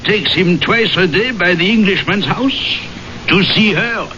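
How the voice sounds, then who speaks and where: A superstitious village milkman, anxious to please and tripping over his words. The voice is bright and fairly high, in old radio drama sound, muffled with faint noise. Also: measured pace. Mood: nervous, sheepish. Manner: hesitant, stammering, deferential. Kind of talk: 1970s radio drama acting. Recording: dull, noisy old radio broadcast.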